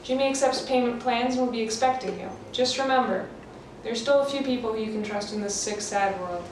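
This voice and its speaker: An actress as a world-weary policewoman in a radio thriller, firm and measured. Her fairly high voice sounds distant, very echoey and noisy. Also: lightly acted. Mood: measured advice. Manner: measured, official.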